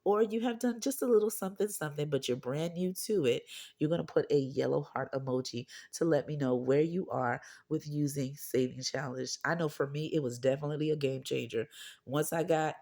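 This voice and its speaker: Hoarse woman with a lost, deep voice. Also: brisk, dark tone. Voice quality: deep husky voice. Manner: pushes her voice out to sound clearer; chatty, often asks viewers okay or right. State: hoarse, losing voice from a cold. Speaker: budgeting channel host. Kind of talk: casual chat to camera.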